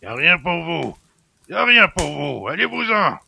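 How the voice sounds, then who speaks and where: A man playing a hateful, surly old country innkeeper in an audiobook, with a gravelly, rasping voice and rustic, menacing speech. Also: a little high, sing-song, moderate pace, pushed and bright, full character voice. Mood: hateful, angry. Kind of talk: dramatised audiobook acting.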